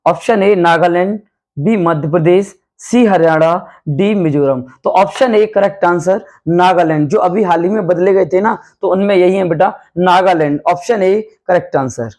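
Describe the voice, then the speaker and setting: A man with a hoarse voice, a coaching teacher running a quick quiz-style revision lesson, calling his students "beta" and repeating each question and answer. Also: high-pitched, a little mellow. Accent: Hindi. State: hoarse from night classes.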